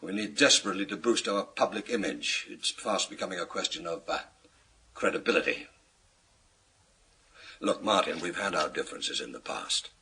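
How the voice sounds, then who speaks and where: An actor playing a pompous, image-obsessed senior British police chief in a radio thriller, formal and given to pauses. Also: male voice, deep voice, pushed and bright, a little hiss or hum. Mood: weary, worried. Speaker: actor in a radio crime drama.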